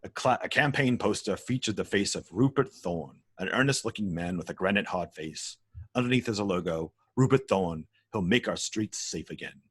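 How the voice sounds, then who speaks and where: A man's voice: fairly low pitch, animated, normal speed, fairly bright tone, husky, no room sound. A man reading stage directions as the narrator of a script table read.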